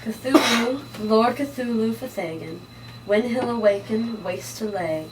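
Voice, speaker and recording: A woman playing a wicked, hypnotic nightclub singer chanting dark incantations in a noir horror table read. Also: a little high, slow. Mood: sinister, seductive. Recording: distant microphone, noisy room.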